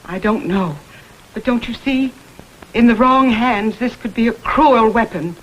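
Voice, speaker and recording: An actress playing a guarded, anxious institution director in an old crime drama, speaking formally and earnestly. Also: female voice, fairly high pitch, a little slow, close to an everyday voice. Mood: pleading. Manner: formal, earnest, careful wording. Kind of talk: vintage American television crime drama acting. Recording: faintly noisy vintage film soundtrack.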